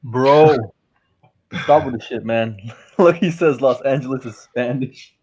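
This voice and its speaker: A man talks bluntly and casually on a stream, swearing now and then. His voice is husky and somewhat breathy. The mic sounds muffled and lo-fi. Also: medium pitch, unhurried, a little mellow. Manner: blunt, profane, laid-back. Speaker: stream co-host.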